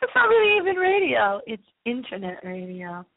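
A woman's voice: a little high, sing-song, normal speed. A woman hosting a late-night spooky internet radio show, chatting live in a rambling, campy, theatrical way. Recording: tinny, phone-like internet radio line.

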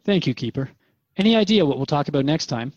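A man's voice: on the high side, lively intonation, fast, darkish tone, no echo, dull audio. A man playing a modest, earnest radio interviewer in a scripted radio drama. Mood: appreciative. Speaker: radio show host and interviewer.

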